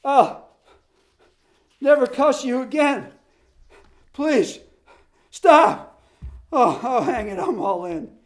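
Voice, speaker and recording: A man's voice: very high-pitched, normal speed, pitched above the performer's own voice. A blustering football captain, acted by a man, sputtering reproaches in a raised, strained voice. He gasps between phrases, winded from running. Storybook reading. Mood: pleading breathless. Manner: sputtering, scolding, then pleading. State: out of breath.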